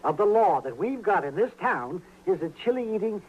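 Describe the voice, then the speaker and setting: An actor playing a crotchety, excitable town doctor in an old Western radio drama, flustered and querulous as he sputters along. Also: high voice, moderate pace, husky, exaggerated voice. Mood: grumbling, indignant. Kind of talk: 1950s Western radio comedy-drama acting. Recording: dull, noisy old radio recording.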